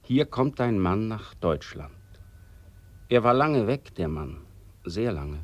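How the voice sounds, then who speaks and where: Low-voiced, solemn drama narrator. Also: male voice, sing-song, no room sound, exaggerated voice. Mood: grave. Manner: solemn, declamatory, with deliberate repetitions. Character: grave, bitter narrator of a returning soldier's tale. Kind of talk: dramatic radio play prologue narration.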